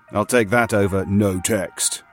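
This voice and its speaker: A man's voice: on the low side, steady tone, slow, faint music behind. A man playing a weary, stubborn veteran player of a surreal game, wry and conversational in a naturalistic audio drama. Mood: wry, resigned.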